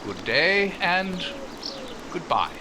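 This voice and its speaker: A man playing a proud, awkward gentleman suitor in 1940s radio romance style, formal and hesitant. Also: high voice, big pitch swings, drawn-out words, noisy background, exaggerated voice. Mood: indignant.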